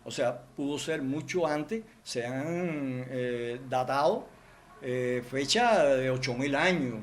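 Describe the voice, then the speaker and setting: A man's voice: medium pitch, slow, a little hiss or hum. A man who guards a local heritage site, explaining history in an interview in a precise, lecturing way. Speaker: heritage custodian.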